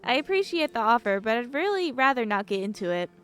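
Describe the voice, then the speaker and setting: Girl voicing a chatty friendly young woman in a visual novel, bit nervous, apologizes, highish voice. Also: very animated, fast, fairly bright tone, clean tone. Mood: friendly, uneasy. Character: friendly, eager, slightly nervous young woman from small town.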